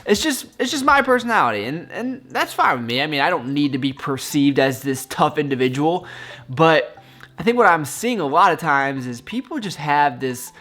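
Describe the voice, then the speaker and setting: A man's voice: medium pitch, fairly bright tone. A young man talking casually to his vlog audience, upbeat and smiley, rambling with frequent restarts as he gives his opinions. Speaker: fitness and cooking vlogger.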